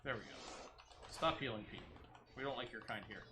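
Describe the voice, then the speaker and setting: A man's voice: medium pitch, a little fast, background noise. A man giving casual gameplay commentary as a streamer, muttering his play-by-play as if talking to himself. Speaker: gaming streamer.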